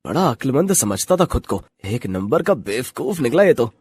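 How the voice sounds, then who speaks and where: A man playing a smooth-talking, smug fake journalist in a cartoon story. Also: medium pitch, big pitch swings, moderate pace, darkish tone. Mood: smug, scornful. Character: slick, scheming fake male journalist in a cartoon.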